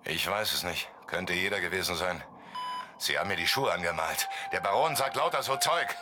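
Deep-voiced, terse male gangster. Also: lively intonation, moderate pace, noisy background. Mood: delirious. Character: Dutch, a hard, laconic male gangster remembering and rambling. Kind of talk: dark first-person radio play monologue.

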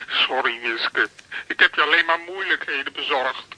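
A man's voice: fairly high pitch, normal speed, sharp tone, no room sound, poor audio. An actor voicing a loyal male talking dog in a children's radio play. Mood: sad.

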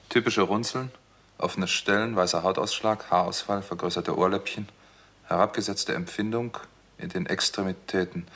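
Terse, low-voiced doctor turned sleuth. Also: male voice, pushed and bright. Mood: absorbed, clinical. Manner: terse, matter-of-fact, clipped sentences. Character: hard-boiled doctor turned sleuth, narrating his case, a man. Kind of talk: 1960s German radio crime drama narration and dialogue.

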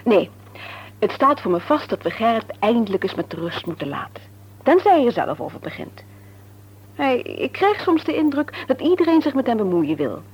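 An actress playing a sensible, frank and caring wife in a radio serial, reasoning earnestly and gently chiding. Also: female voice, a little high, big pitch swings, moderate pace, breathy edge, highs cut off. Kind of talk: Dutch radio serial drama acting. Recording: noisy old radio recording.

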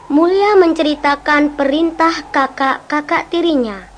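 A woman narrating a fairy tale in a gentle, wondering way. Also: sits high, unhurried, noisy background. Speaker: narrator and young girl character. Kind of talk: storytelling drama. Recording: music playing behind the voice.

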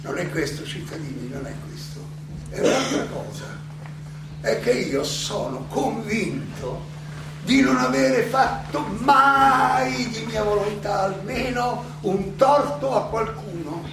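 Distant noisy stage recording of an actor doing an aging ironic philosopher, high gravelly male voice, declaiming his defence. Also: roomy sound, over music. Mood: insistent, declamatory. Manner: ironic, rhetorical questioning, halting repetitions. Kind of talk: theatrical stage monologue, oratory.

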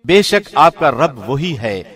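Male narrator, middle register, formal scripted scripture reading with measured, solemn announcer delivery. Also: medium pitch, very animated, a bit bright. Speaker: translation narrator.

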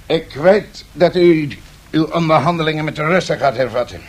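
An actor playing a secretive, persuasive financial envoy in a radio drama, speaking in a formal, deliberate way. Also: male voice, on the high side, close to an everyday voice.

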